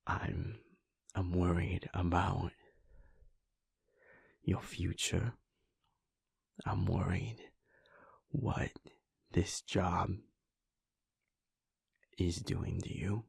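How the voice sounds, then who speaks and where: A caring werewolf boyfriend, played by a man, speaks in a soft, half-whispered audio roleplay. His voice is deep and very husky, with a gravelly edge. He takes his time, slow and close in a dry, dead room. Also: level tone, no echo, heavily acted. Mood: tender, worried. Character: caring young adult male werewolf boyfriend.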